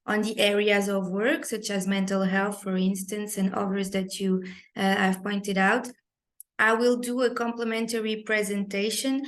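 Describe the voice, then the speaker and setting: A woman from Portugal whose first language is Portuguese, giving a polite, measured guest presentation in a video class with frequent ums. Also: medium pitch. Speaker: guest speaker, executive director. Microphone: video call.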